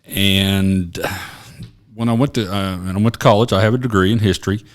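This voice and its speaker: A man in his forties from Oklahoma, a retired police officer, telling his story as a podcast guest in a small office studio, rambling and halting with many fillers. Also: on the low side, steady tone. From Oklahoma, USA. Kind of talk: casual interview storytelling.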